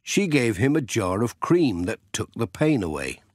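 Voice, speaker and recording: A man's voice: fairly low pitch, very animated, moderate pace, breathy edge, dry recording, studio quality. A man narrating a children's audiobook story.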